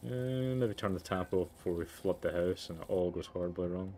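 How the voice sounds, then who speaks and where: A man's voice: low-pitched, brisk, dark tone, a little hiss or hum. A man doing let's play commentary and reading a game's narration aloud. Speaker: let's player. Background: game music.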